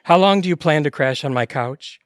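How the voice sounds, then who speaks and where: An actor playing a cocky, blunt, bantering gym buddy in an audio comedy. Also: male voice, a little high, fairly quick, no echo, studio quality, natural voice. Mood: teasing. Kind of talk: audio comedy drama acting.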